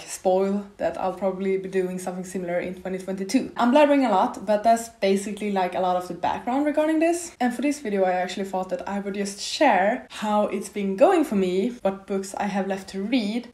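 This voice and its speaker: A young woman in her twenties chatting casually to camera about books, her voice a bit raspy from a slight cold, rambling with lots of fillers. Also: medium pitch, darkish tone. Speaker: book vlogger. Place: bare, half-furnished apartment room. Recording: echoey empty room.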